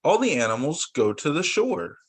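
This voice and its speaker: Husky young man reading to kids. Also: a little high, moderate pace. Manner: sing-song, expressive storybook reading with rhymes. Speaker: preschool teacher reading picture books. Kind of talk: read-aloud story time for young children. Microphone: video call.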